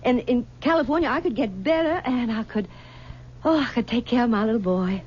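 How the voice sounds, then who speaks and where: A woman plays a possessive older mother in a vintage radio play. She coaxes, pleads and stammers in a high voice. The broadcast sound is dull, with faint hiss. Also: darkish tone, lightly acted. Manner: coaxing and possessive, halting with stammers. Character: clinging, possessive aging mother. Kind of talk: 1970s radio drama acting.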